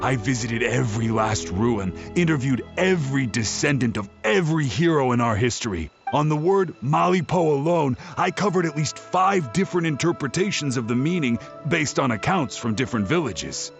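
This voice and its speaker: A gravelly, aggrieved scholar. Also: male voice, mid-range voice, expressive, moderate pace. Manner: aggrieved, wordy. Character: aggrieved older male scholar Ponche, fussy and proud. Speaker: game character. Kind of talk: video game voice acting. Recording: dull game audio with music.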